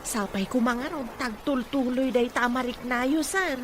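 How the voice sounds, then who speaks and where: A woman's voice: high-pitched, measured pace, noisy background. A woman playing a devoted, respectful therapist to a rich boss in an Ilocano radio drama. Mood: encouraging.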